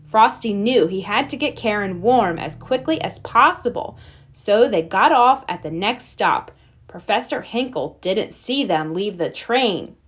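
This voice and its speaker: A woman reading a children's storybook aloud as narrator, with expressive storytelling. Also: medium pitch, measured pace, slightly rough. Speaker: storybook reader.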